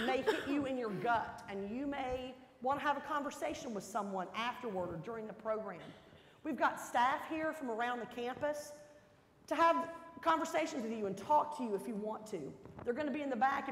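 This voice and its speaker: A woman hosting an orientation program, giving a welcome speech with deliberate, emphatic delivery in a hall. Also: high voice. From Texas resident, not from Texas. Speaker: program host. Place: large indoor auditorium stage. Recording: echoey hall, some background noise.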